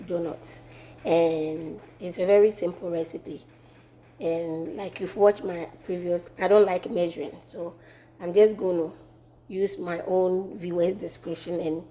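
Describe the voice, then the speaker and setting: Slow, mellow Ghanaian woman cooking at home. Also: lowish voice. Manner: relaxed, repeats herself while working. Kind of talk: casual cooking demonstration. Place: home kitchen.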